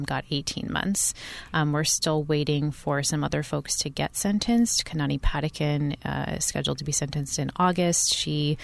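A woman reports the news as an interview guest. Her voice sits very low for a woman. She explains in a conversational way, pausing often to hesitate. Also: no room sound.

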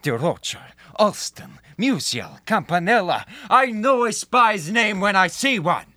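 A man's voice: high-pitched, sing-song, measured pace, pushed and bright, no room sound, heavily acted. A man playing a sinister, self-serving Soviet security officer with a theatrical, insinuating manner in a comic radio play. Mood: menacing.

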